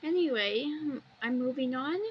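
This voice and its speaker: Woman, noisy muffled home-style recording, high-pitched, halting, rambling unscripted commentary. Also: lively intonation. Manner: halting, rambling, repeats phrases. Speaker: video host. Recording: dull lo-fi recording with background noise.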